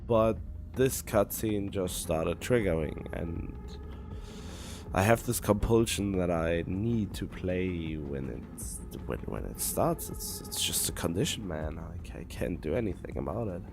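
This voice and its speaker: A man livestreaming a video game with a fairly low voice. He rambles casually with wry, self-deprecating commentary while music plays clearly behind him. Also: lively intonation, measured pace, darkish tone. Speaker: video game live streamer.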